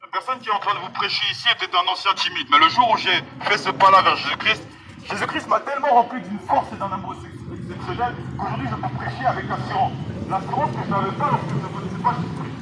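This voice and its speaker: A man's voice: sits high, quick pace. A man preaching fervently through a megaphone, urging passers-by directly with emphatic, repeated phrases. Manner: exhortatory, emphatic, repetitive, addressing passers-by directly. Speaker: street evangelist. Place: outdoors on a street. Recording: noisy outdoor street recording with vehicles.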